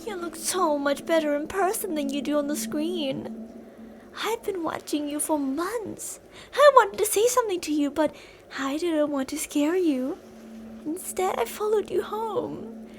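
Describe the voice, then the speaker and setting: Woman voicing an unhinged yandere fangirl, extremely high voice, possessive, intimate ASMR roleplay, pleading yet menacing, noisy background. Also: heavily acted. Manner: intimate, swinging between insistent pleading and soft whispery menace. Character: obsessive yandere female fan, possessive, unhinged kidnapper. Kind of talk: ASMR roleplay, dramatic monologue addressed to the listener.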